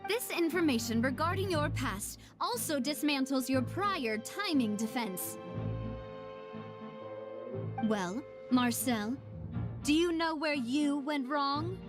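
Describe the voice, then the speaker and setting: A woman's voice: high voice, expressive, slow pace. A woman playing a confident, dramatic young woman in an anime video game, speaking in a pointed, theatrical way. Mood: righteous. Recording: music behind the voice.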